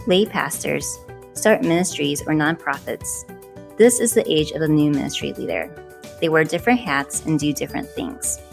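Low, gravelly woman narrating an intro. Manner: steady, declarative. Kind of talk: scripted narration. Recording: music faint behind.

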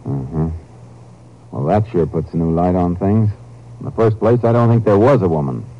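A man's voice: low voice, normal speed. An actor playing a shrewd, laconic Texas Ranger in a 1950s radio drama, matter-of-fact as he narrates his case. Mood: firm, deductive. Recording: dull old radio recording, some music.

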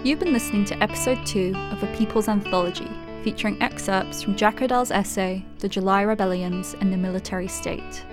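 Woman narrating over clear guitar music. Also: mid-range voice, fairly even intonation, a little fast, few pauses. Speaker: podcast host reading credits. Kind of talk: scripted narration.

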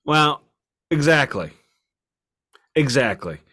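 A man's voice: medium pitch, big pitch swings, takes it slowly, pushed and bright. A man co-hosting a podcast, chatting casually about music in an opinionated, rambling way with stammered repeats. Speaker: podcast co-host.

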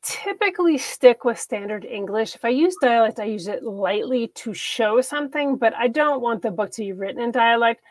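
A woman's voice: fairly high pitch, animated, normal speed, few pauses, no room sound. A woman author being interviewed, speaking thoughtfully and earnestly in casual conversation. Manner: thoughtful, earnest, laughs easily. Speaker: author guest.

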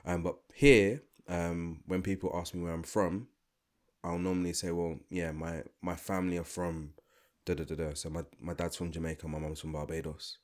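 A man's voice: deep voice, fairly even intonation, moderate pace. A young man with a London accent, talking casually and thoughtfully on a podcast, often restarting his sentences. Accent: London English. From London, England. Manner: thoughtful, rambling with restarts and asides. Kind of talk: casual chat.

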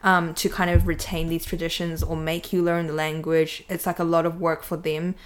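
Young New woman, tentative, slightly low voice. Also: flat delivery, normal speed, slight background noise. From New Zealand, grew up there. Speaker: podcast co-host. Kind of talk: casual chat.

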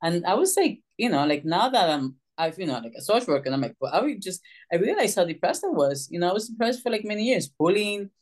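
A middle-aged woman from Puerto Rico, a social worker and author telling her story in an interview, animated and acting out the voices she quotes. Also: on the low side, fast talker, hardly any pauses.

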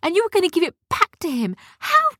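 A woman's voice: very high voice, wildly swooping pitch, brisk, fairly bright tone, no echo, studio quality, pitched above the performer's own voice, full character voice. A woman voicing an indignant young girl heroine who scolds and demands. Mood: outraged.

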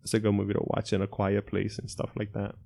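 A young man co-hosting a podcast, relaxed and joking, mostly speaking English with a few Spanish words. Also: fairly low pitch, fast, vocal fry. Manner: laid-back, laughs often, mostly English with Spanish bits. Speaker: podcast co-host. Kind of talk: casual chat.

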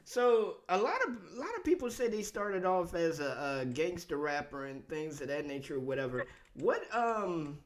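A man with a slightly raised pitch hosts an interview. He is friendly and affirming, backs up his guests with amens, and fills his questions with hesitant ums. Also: big pitch swings, moderate pace. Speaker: interview host. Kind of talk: casual podcast interview.

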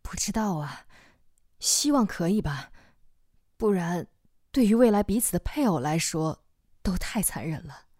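An actress playing a pensive, regretful parent in a modern audio drama, hushed and breathy. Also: female voice, on the low side, lively intonation, unhurried, long pauses, dry recording, clean studio sound. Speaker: voice actor.

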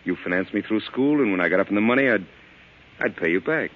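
An actor playing a cocky, evasive young mob lawyer in an old-time radio drama, brisk and defensive. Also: male voice, on the low side, lively intonation. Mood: earnest, awkward. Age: young adult. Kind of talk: 1940s radio drama acting. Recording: dull lo-fi old radio recording.

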